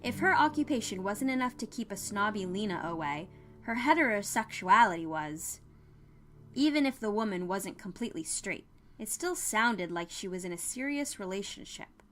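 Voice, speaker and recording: A woman's voice: medium pitch, expressive, moderate pace, a bit bright. Woman narrating fiction over music. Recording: music under the voice.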